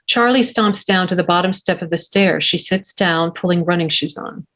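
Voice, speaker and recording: Woman reading screenplay action, lowish pitch. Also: moderate pace, a bit bright. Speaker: stage-direction narrator. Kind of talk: scripted narration of a screenplay table read.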